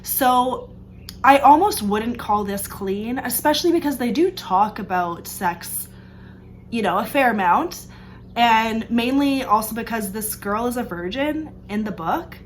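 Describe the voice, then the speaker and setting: A woman's voice: a little high, animated, measured pace, a little hiss or hum. Chatty young woman, slightly stuffed up. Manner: chatty, upbeat, frequent "like" and "um". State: stuffed up from allergies. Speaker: book vlogger. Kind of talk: casual book review chat. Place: room with open sliding door. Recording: faint outdoor ambience, birds, planes.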